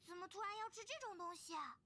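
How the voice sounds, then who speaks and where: A woman voicing a tiny, eager, bossy fairy girl in a video game, with a squeaky childlike voice. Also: fast talker, a crowd in the background. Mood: puzzled, grumbling. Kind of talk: cute video game voice acting.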